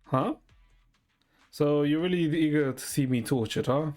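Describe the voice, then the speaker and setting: A man's voice: a little high, a little slow, darkish tone. A man giving casual gameplay commentary and reading story dialogue aloud, with musing, muttered asides. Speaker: game streamer. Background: video game music.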